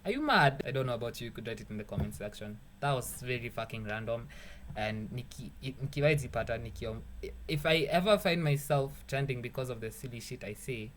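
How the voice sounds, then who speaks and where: A man's voice: medium pitch, lively intonation, brisk, fairly bright tone. A young man with a Kenyan accent, a music producer giving a casual, rambling beat-making tutorial, joking and swearing, dropping Swahili phrases. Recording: faint background noise.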